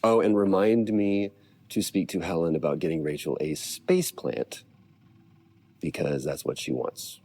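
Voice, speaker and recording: A man acting a weary, worried space-station medical officer in a video game, speaking a personal log haltingly in a gravelly voice. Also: low-pitched, steady tone, dark tone, slight background noise. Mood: homesick, wistful. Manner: halting, hesitant delivery.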